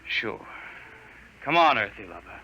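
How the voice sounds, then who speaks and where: An actor playing a sarcastic, vindictive military lieutenant in a 1950s radio drama. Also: male voice, on the low side, sing-song. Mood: sneering. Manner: sarcastic, drawn-out taunting. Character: sneering, vindictive male military lieutenant with a grudge. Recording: vintage radio recording with faint noise.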